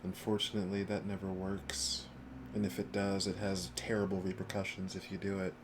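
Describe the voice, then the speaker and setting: A man's voice: low-pitched, completely flat delivery, brisk, background noise. A man livestreaming a game, chatting casually with viewers and commenting as he plays.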